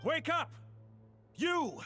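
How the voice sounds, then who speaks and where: A man's voice: very high voice, very animated, extremely slow, bright, forceful tone, soft background music, exaggerated voice. A man playing a seasoned, resolute knight hero in a video game, confident and wry, with a dramatic delivery. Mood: commanding, urgent.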